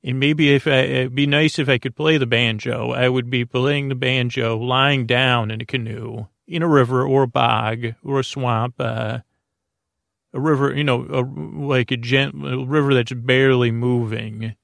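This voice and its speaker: Man, podcast host, gravelly fry, dry room-free sound, meandering bedtime monologue, halting and friendly delivery. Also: medium pitch, fairly even intonation, no room sound. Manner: meandering, halting, often trailing off and circling back; gently silly, friendly.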